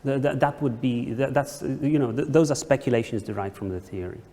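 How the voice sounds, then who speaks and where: A man's voice: mid-range voice, a little fast, warm tone. A man answering questions as an academic presenter, explaining hesitantly and often checking with a tag question. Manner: hesitant, frequently checks with "right?". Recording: echoey hall, some background noise.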